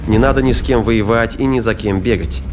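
A man's voice: lowish voice, fairly quick. A man narrating a science fiction audio drama in a scripted storytelling style. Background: music.

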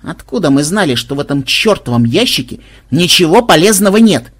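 A narrator voicing a sharp-tongued, suspicious female dark elf, mocking and snappish, in a fantasy audiobook. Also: medium pitch, big pitch swings, fairly quick, husky, voice pushed up. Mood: defensive.

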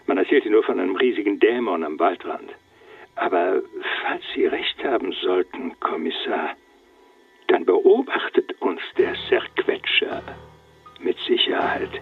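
A man playing a grave, ominous figure in a crime radio drama, gravelly and husky, over a phone line. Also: sits low, big pitch swings, unhurried, faint music behind, heavily acted. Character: grave, ominous man warning of a village demon. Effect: phone filter. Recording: narrow, distant phone line.

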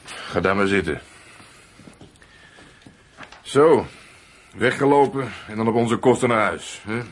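An actor playing a brusque, sceptical police officer in a radio play, questioning in a curt, gruff manner. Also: male voice, fairly low pitch, lively intonation, slow, a little hiss or hum. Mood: curt, suspicious.

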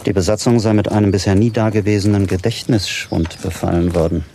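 An actor playing a grave professor who runs space missions in a radio drama, mellow, measured and formal. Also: male voice, on the low side, fairly even intonation, vocal fry, a little hiss or hum. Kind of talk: German radio drama acting.

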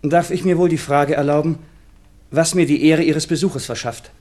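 An actor playing a well-bred, tense young gentleman lover with a Viennese accent in a radio play. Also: on the high side, fairly even intonation, moderate pace, natural voice. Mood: guarded politeness. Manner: polite, tense. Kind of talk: German-language radio play acting.

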